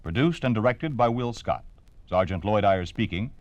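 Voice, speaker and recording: A man's voice: lowish voice, animated, moderate pace, dry recording, highs cut off. A man reading a formal, emphatic scripted announcement in 1950s radio style. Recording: vintage 1950s radio recording.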